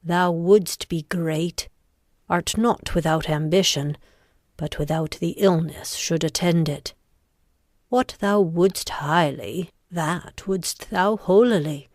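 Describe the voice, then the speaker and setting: A woman playing an ambitious, commanding noblewoman who schemes, in a dramatic Shakespearean reading with deliberate, intense delivery. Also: on the low side, a little mellow, no echo, clean studio sound. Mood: scheming.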